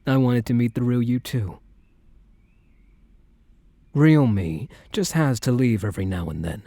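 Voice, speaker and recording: A soft, dark-toned young crime boss acted by a man, talking tenderly to a partner in a boyfriend roleplay. His delivery is measured and protective, recorded very dry and intimate. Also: medium pitch, lively intonation, dry recording. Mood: wistful, sincere. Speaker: roleplay voice performer. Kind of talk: boyfriend ASMR audio roleplay.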